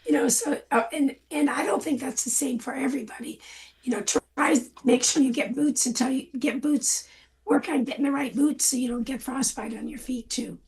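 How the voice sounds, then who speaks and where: An older hoarse woman, a farm safety guest on a radio show, talking casually and rambling with a self-deprecating manner. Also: sits high, normal speed, darkish tone.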